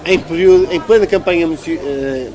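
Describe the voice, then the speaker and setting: Man, European Portuguese accent, fairly high voice, emphatic, argumentative, conversational interview, clear crowd bustle behind. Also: fast, poor audio. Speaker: local politician being interviewed.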